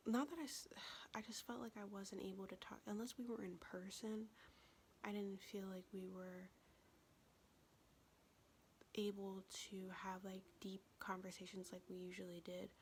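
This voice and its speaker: A young woman telling a personal story in a soft, confessional whisper, vlog style. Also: mid-range voice, little pitch movement, moderate pace, pauses often, a little hiss or hum.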